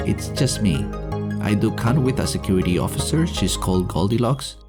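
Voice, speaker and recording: A middle-aged man reads a scripted vlog in a fairly low voice. His intonation moves around in a lively way, though his manner stays low-key and sincere. Prominent music plays behind him. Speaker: indie game developer and devlog host. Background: background music.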